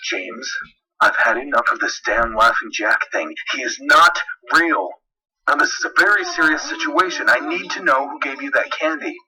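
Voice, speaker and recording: A man's voice: mid-range voice, lively intonation, moderate pace, bright, forceful tone, breathy edge, recorded from a distance, voice pushed up, full character voice, low-quality recording. A man playing a worried, stern but loving father in a horror story, questioning his young son with anxious insistence. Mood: exasperated, firm.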